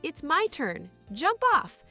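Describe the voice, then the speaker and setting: A bossy young farm girl from a children's storybook, put on by a woman. She sounds shrill and exasperated, with a high voice that swings animatedly as she complains. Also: very animated, takes it slowly, bright, forceful tone, faint music behind, full character voice. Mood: bossy, shrieking.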